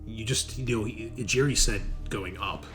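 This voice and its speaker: A man's voice: fairly low pitch, moderate pace, fairly bright tone, faint music behind. A man acting as game master, talking casually through rules and narrating scenes in an informal, teasing way. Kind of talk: running a tabletop roleplaying game, casual rules talk and narration.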